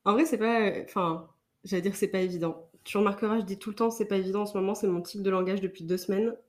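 A woman's voice: medium pitch, steady tone, fast talker. A woman hosting a casual writing podcast, chatty and friendly, with many fillers. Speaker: podcast host.

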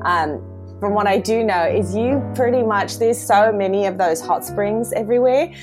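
A woman's voice: mid-range voice, moderate pace, over music. A woman, a guest artist in a casual interview, laughing often and using lots of fillers. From Iceland, raised in Seattle.

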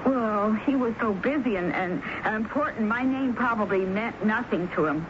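A woman plays a nervous, self-effacing typist in an old radio drama. She speaks in halting, guarded phrases, repeating words timidly. The broadcast recording is muffled with clear noise. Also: fairly high pitch, expressive, lightly acted. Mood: flustered, self-effacing. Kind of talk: 1970s radio drama acting.

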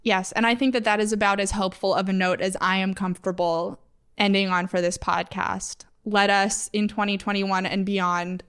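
A woman's voice: medium pitch, level tone, moderate pace, fairly bright tone, clear, resonant tone. A woman co-hosting a casual podcast, speaking reflectively with light humor. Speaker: podcast producer and co-host.

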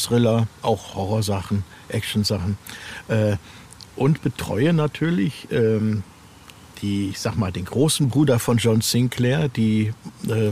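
A man's voice: mid-range voice, a little mellow, slight background noise. Male interview guest, writer type, mid-range voice, conversational and slightly hesitant phrasing. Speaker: horror author and editor being interviewed.

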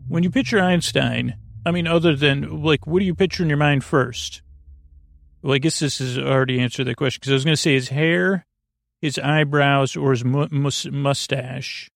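A man with a creaky voice hosting a sleep podcast in a meandering, hesitant, lulling and self-deprecating monologue full of tangents. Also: mid-range voice, steady tone, normal speed, no echo. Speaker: podcast host.

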